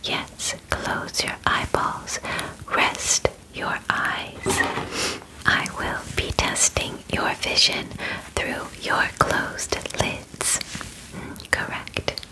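A female alien examiner, acted out by a young woman in an ASMR roleplay. She whispers softly and breathily, very close to the mic. Her instructions come slowly and deliberately, formal and clinical yet reassuring. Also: poor audio. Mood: calm, clinical. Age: young adult. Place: bedroom, indoors. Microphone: close sensitive microphone.

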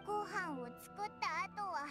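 A woman voicing a small, cheeky, food-loving companion creature in an anime video game, squeaky and childlike with rough boyish phrasing. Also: measured pace. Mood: puzzled. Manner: boyish rough speech, exclamatory. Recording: music behind the voice.

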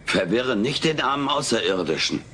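An actor playing a stubborn, cheeky alien in a dubbed sitcom audio play, theatrical and full of wisecracks. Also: male voice, medium pitch, expressive, normal speed, pushed and bright, a little hiss or hum. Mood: groggy, baffled. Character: cheeky, stubborn male alien, wisecracking pop-culture fan.

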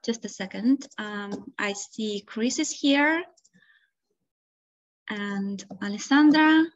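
A young woman moderating an online event over a video call, friendly and hesitant with many fillers. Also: medium pitch. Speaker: webinar moderator. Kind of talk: casual hosting. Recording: dull lo-fi call audio.

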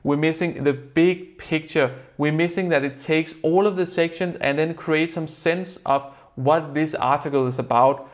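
A young man with a Danish accent, a native Danish speaker, earnestly explaining a software review to camera from a desk in a plain room. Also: fairly high pitch. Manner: earnest, explanatory, slightly non-native phrasing.

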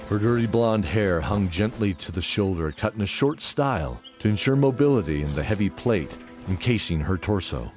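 A man narrating a scripted fantasy audio drama in a measured, descriptive way. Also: deep voice. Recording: music under the voice.

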